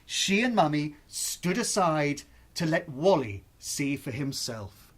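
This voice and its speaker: A storyteller narrating a children's bedtime tale in an expressive, suspenseful, deliberate way. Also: mid-range voice, breathy edge.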